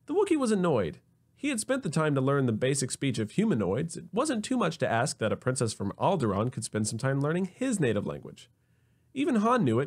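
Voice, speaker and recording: A man narrating a scripted comedic sci-fi story in an expressive, wry manner. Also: fairly high pitch.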